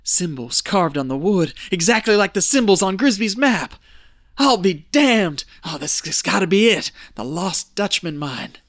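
A greedy treasure hunter losing his mind in a radio play. His fairly high voice swings wildly as he mutters and exclaims to himself in folksy phrases, ringing in a cavernous space. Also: big pitch swings, measured pace, fairly bright tone, a little hiss or hum, heavily acted. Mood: excited, awed. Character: feverish, greedy male treasure hunter descending into madness. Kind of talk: audio drama monologue acting. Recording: echoey cave reverb with music behind.

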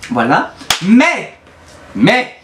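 A man's voice: high voice, wildly swooping pitch, extremely slow, a bit bright, a little hiss or hum. A man, chatting casually to camera in a playfully exasperated, teasing manner. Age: early twenties. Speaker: YouTuber hosting a prank video. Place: small bedroom, indoors. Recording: roomy echo, music behind.

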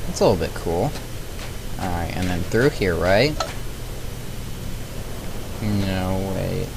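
A man's voice: sits low, lively intonation, slow, gravelly, background noise. A man giving casual commentary while playing a video game, thinking aloud and questioning himself. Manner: thinking aloud, chatty, wondering and self-questioning. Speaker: let's play gamer.

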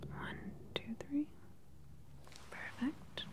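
A woman in her thirties speaks in a soft, breathy whisper close to the mic, gentle, clinical and unhurried, as a doctor in an ASMR exam roleplay. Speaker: ASMR performer playing a doctor. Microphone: close ASMR microphone.

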